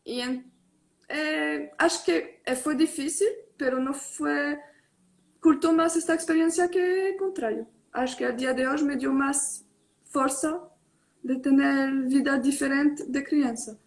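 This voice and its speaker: A young adult woman on a video call, talking casually in an interview and switching between Spanish and Portuguese with many pauses and fillers. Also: high-pitched, gravelly. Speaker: tattoo artist guest. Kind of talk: casual online live interview.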